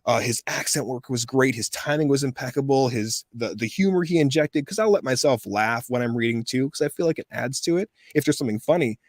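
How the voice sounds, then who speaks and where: A man's voice: medium pitch, fairly quick, few pauses, no echo, studio quality. A man in his thirties, a voice actor telling stories in a casual interview and acting out the voices he quotes. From USA. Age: 30s. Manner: animated storyteller, acts out quoted voices. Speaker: voice actor guest.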